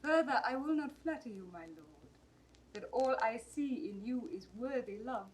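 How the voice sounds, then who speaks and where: An actress playing a dutiful, composed young princess in a 1960s Shakespeare audio drama, speaking verse carefully and formally. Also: female voice, fairly high pitch, lively intonation, normal speed, slight background noise, close to an everyday voice, rough recording. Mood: demure, composed.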